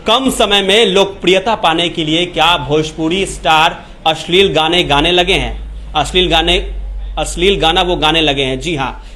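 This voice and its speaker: A man presenting entertainment news commentary off the cuff, addressing viewers with emphatic, repetitive phrasing and halting restarts. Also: high-pitched, a bit bright. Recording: clear background noise.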